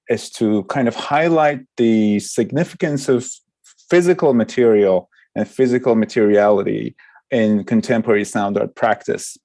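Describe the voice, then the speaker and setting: A man from Iran, a sound artist and lecturer, giving an informal artist talk with hesitant pauses mid-phrase. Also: mid-range voice, dark tone.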